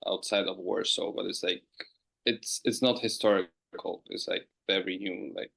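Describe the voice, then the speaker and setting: A man's voice: low voice, steady tone, moderate pace, vocal fry, fairly bright tone. A man who is a guest on a podcast, chatting casually over a call and stopping often to search for words. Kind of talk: casual chat.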